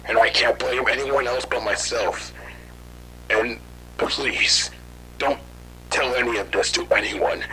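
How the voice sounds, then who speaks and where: A man playing a guilt-ridden scientist in hiding, telling his story haltingly in an amateur horror audio drama. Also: mid-range voice, sharp tone, slight background noise, low-quality recording. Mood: fearful, remorseful. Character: guilt-ridden fugitive scientist father recounting his failed experiment.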